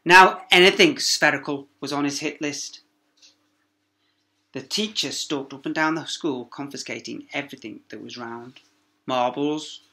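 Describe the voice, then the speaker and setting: Bright-voiced man reading a children's story. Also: medium pitch. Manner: dramatic, with emphatic pauses and sound words. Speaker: primary school teacher reading to pupils.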